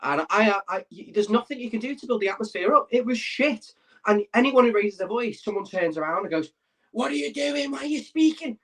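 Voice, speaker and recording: Higher-voiced blunt football fan, man. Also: expressive, brisk, a bit bright, low-quality recording. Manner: blunt, heated, swears. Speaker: fan channel host. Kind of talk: announcement and fan rant.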